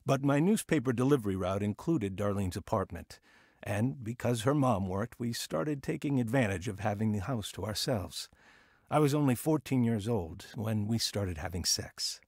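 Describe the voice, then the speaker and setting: A man's voice: lowish voice, lively intonation, normal speed, a little mellow, no room sound, clean studio sound. An actor playing a reflective, remorseful man who narrates his own youth in a radio drama. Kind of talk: scripted first-person drama narration. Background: music.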